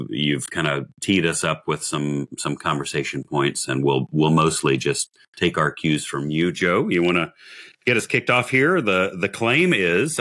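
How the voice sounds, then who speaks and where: A man hosting a podcast, his voice scratchy from days of shouting, talking in a jovial, teasing, rambling way. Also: rumbling low. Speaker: podcast host. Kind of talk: casual podcast chat.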